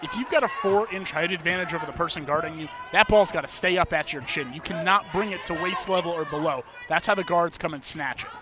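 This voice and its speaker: A man's voice: fairly high pitch, quick pace. A man giving color analysis during a sports broadcast. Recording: crowd noise in arena. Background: basketball game crowd.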